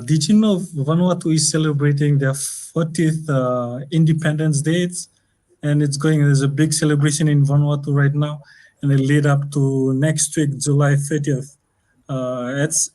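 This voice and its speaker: A man's voice: on the high side, fairly even intonation, moderate pace, mellow. A man with a Fijian accent speaking as a panel guest, hesitant with many fillers. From Fiji.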